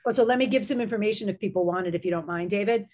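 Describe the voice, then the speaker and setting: A woman's voice: medium pitch, very fast, darkish tone. A middle-aged woman with a slight sore throat, a writing professor chatting enthusiastically as a guest on a video call, with many fillers and restarts. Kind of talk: casual video-call interview.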